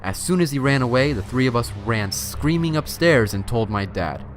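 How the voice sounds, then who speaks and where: A man's voice: mid-range voice, expressive, normal speed, slight background noise. A man hosting and reading a scary story as narration, with a theatrical, suspenseful delivery. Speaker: host and story reader.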